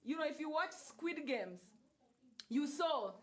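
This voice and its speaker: Kenyan-accented woman with a high voice, emphatic, repetitive livestream talker. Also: expressive, measured pace. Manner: repetitive, emphatic, switches into Swahili and Luo phrases. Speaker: livestream host. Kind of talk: casual spiritual talk to live viewers.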